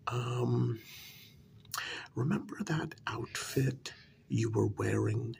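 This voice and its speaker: A man playing a flustered, apologetic talent agent in an ASMR role-play, speaking softly in a breathy, gravelly half-whisper with hesitant phrasing. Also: medium pitch, slight background noise, exaggerated voice. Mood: persuasive, gentle. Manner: slow, hesitant, halting. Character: apologetic, easily flustered male talent agent for supernatural creatures.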